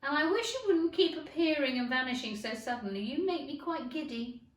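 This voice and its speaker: A woman's voice: high-pitched, animated, fairly quick, voice pushed up. A woman voicing a polite, curious young girl from a children's storybook, earnest and questioning. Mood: exasperated, musing. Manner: earnest, well-mannered, questioning. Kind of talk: children's storybook character reading. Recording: echoey room.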